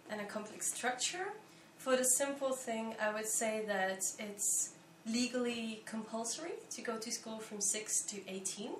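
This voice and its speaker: A young woman from Belgium explains things calmly and carefully, pausing often to pick her words. She sounds like she is in a roomy, echoey space. A faint hiss sits under her voice. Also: a little high, distant mic. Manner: thoughtful, measured, with frequent pauses and fillers. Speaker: interviewee, volunteer. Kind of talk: explanatory interview answers. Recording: echoey room, faint background noise.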